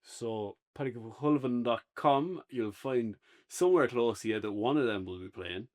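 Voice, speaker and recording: A man with an Irish accent co-hosting a relaxed, unscripted review conversation. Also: mid-range voice, moderate pace. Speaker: podcast co-host and reviewer. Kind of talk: casual unscripted review chat.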